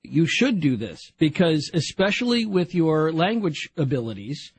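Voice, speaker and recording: A man's voice: fairly high pitch, very animated, normal speed, slightly rough, dry recording. A man hosting a radio call-in show, guiding callers with frequent stammering restarts. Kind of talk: casual call-in chat.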